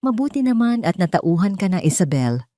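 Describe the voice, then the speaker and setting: A woman's voice: on the low side, very animated, a little fast, mellow, no room sound, studio quality. A woman voicing an arrogant, self-satisfied cheating husband in an audio drama, talking in a condescending manner. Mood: smug. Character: smug, arrogant cheating husband, adult man.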